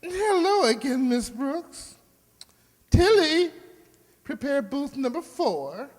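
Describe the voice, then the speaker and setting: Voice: high-pitched, big pitch swings, takes it slowly, slight background noise, heavily acted. An actor playing a flamboyant, boastful hairdresser with an effusive, theatrical manner in a radio comedy. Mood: showy, excited. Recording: echoey hall, long reverb.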